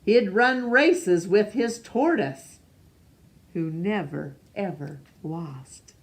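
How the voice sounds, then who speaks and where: A woman reading a picture book aloud to young children as a storyteller, expressively and with pauses for questions. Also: medium pitch, lively intonation.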